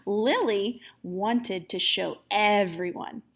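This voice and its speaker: A woman reading a children's storybook aloud as a teacher would, with expressive, dramatic emphasis. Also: medium pitch, slow pace.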